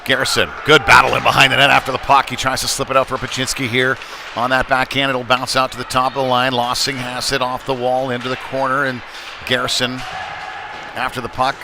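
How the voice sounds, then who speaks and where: A man's voice: medium pitch, completely flat delivery, a little fast, vocal fry, noisy background. A man giving live play-by-play commentary of a youth ice hockey game, with conversational, folksy asides.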